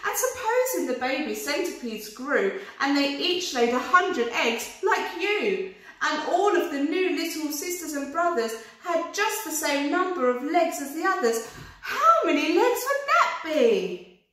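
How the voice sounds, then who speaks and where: A woman's voice: high-pitched, very animated, measured pace, a bit bright, far from the mic, voice pushed up, heavily acted. A woman voicing an eager male storybook rabbit poet who recites rhymes with a flourish, in an echoey room. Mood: excited, puzzling.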